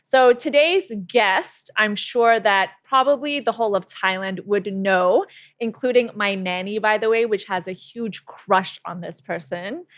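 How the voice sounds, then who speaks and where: A young adult woman hosting a casual interview podcast, chatty and quick with asides and laughs. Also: mid-range voice. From mixed heritage, lived in Singapore and the US. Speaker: podcast host.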